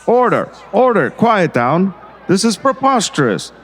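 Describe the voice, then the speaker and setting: A man's voice: sits high, very animated, unhurried, noisy background. A man playing a pompous, condescending small-town mayor in an audio drama. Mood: dismissive, blustering. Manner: smooth-talking, condescending. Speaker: voice actor.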